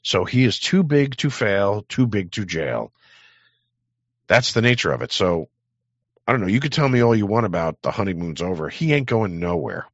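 Male livestream host, low and creaky, profane rambling rant, sardonic delivery, close dry but muffled recording. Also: very animated, moderate pace, no room sound. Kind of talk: rambling political rant to live chat.

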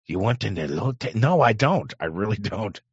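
A man's voice: on the low side, sing-song, moderate pace, breathy edge, dry recording. A man streaming live, chatting casually and reacting crudely to clips he plays. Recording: dry, dull lo-fi sound.

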